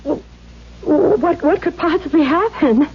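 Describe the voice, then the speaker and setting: A meek young woman cowed by a hard home life. Her voice is high and girlish, and she stammers and hesitates anxiously. It has the dull sound of an old 1970s radio drama. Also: a little slow. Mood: frightened, faltering. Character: timid, beaten-down younger sister and dutiful daughter, around thirty. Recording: dull vintage radio recording.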